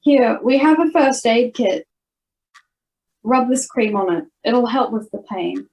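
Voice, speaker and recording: A woman's voice: a little high, expressive, measured pace, a little mellow, low-quality recording. An Australian girl of ten or eleven playing an earnest, urgent schoolgirl adventurer in an amateur radio play. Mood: reassuring. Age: child, 10 to 11. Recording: home video-call recording.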